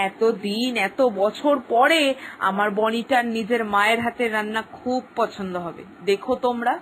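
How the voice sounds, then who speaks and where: Woman playing a worried middle-aged village mother, fretful and pleading, high voice, cartoon story. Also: a bit bright, a little hiss or hum, low-quality recording. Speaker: mother awaiting daughter. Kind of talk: cartoon voice acting.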